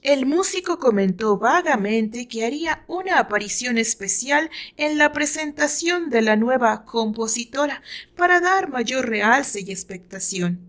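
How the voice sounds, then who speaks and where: A woman's voice: high voice, lively intonation, a little slow, fairly bright tone. A woman with a Mexican accent reading her own fiction aloud as dramatic scripted narration.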